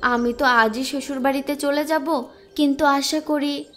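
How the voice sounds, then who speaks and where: High-pitched earnest young village woman, acted. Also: steady tone, normal speed, a bit bright, a little hiss or hum. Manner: pleading, earnest. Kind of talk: cartoon voice acting.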